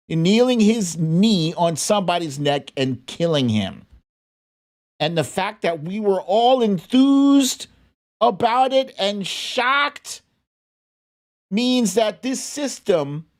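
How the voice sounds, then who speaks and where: An American commentator delivers opinionated political talk at a slow pace. The pitch sits in the middle, and the manner is blunt, emphatic and indignant, as unscripted argument from a show host.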